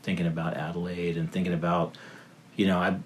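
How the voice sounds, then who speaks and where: Deep-voiced man rambling with fillers. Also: little pitch movement, fairly quick, slight background noise. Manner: rambling, many fillers and restarts. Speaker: guest, father of three. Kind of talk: casual podcast conversation.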